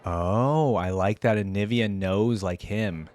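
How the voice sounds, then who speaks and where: A man's voice: on the low side, takes it slowly, a little mellow, faint music behind. A man hosting a reaction video, giving casual commentary and musing aloud in a conversational way.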